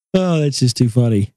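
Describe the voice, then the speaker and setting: A man with a high voice hosting a casual talk show, joking crudely and rambling over news items. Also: dark tone, no room sound, studio quality. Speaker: show host.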